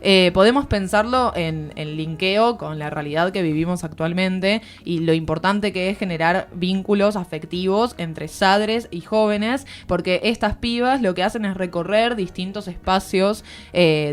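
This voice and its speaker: A woman with an Argentine accent giving a conversational book review as a radio columnist, explaining earnestly and searching for words. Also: fairly low pitch. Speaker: literary columnist on a radio show. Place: radio studio.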